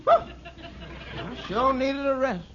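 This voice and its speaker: An actor playing a shifty, self-satisfied crony in a 1940s radio sitcom, telling tales in an excitable, folksy, ungrammatical way. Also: male voice, extremely high pitch, very slow, full character voice. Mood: smug. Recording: muffled, noisy old radio recording.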